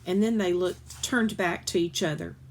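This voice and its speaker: A woman reading a children's novel aloud in an expressive way, with occasional small stumbles and self-corrections. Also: on the low side, normal speed, slight background noise.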